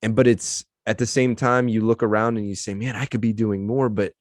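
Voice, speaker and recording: A man's voice: on the low side, steady tone, brisk, no echo, clean studio sound. A man hosting a casual podcast interview, speaking in an informal, reflective and empathetic way.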